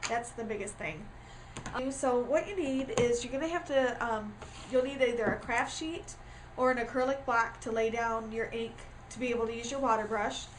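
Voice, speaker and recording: Woman, high voice, congested from a cold, chatty and full of filler words, camera-mic sound with faint ambience. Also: moderate pace, a little hiss or hum, low-quality recording. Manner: chatty with frequent ums and uhs. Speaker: craft tutorial host. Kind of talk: casual craft tutorial.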